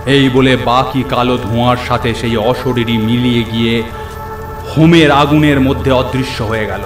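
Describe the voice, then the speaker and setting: Echoey male horror story narrator. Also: medium pitch. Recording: echoey, clear background music. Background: music.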